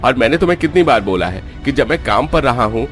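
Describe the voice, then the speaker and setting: A man's voice: medium pitch, animated, fast talker, fairly bright tone, soft background music, pitched above the performer's own voice, natural voice. A man voicing a scornful, irritable rich young husband in a cartoon, scolding and dismissive. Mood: irritated, scornful.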